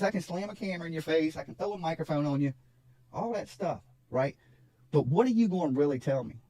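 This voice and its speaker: A man's voice: fairly high pitch, warm tone, dry recording, poor audio. A man talking casually to his livestream audience, insistent, repeating himself and checking for agreement. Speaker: online true crime content creator.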